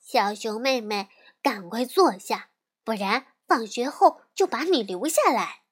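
A woman's voice: a little high, lively intonation, measured pace, slightly rough. A woman voicing a bossy, boastful child who plays the teacher and gives orders. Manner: bossy, commanding.

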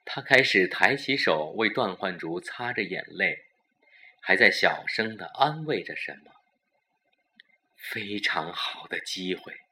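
A man narrating a scripted suspense story in a dramatic, deliberate storytelling manner. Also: sits low, animated, sharp tone.

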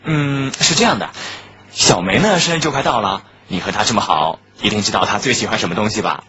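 A woman playing a casual teenage schoolboy who is shyly in love, in a radio drama, with a husky boyish voice. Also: very deep voice, lively intonation, fairly quick, fairly bright tone. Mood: coaxing. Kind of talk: Chinese radio drama acting. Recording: dull lo-fi audio with music behind.

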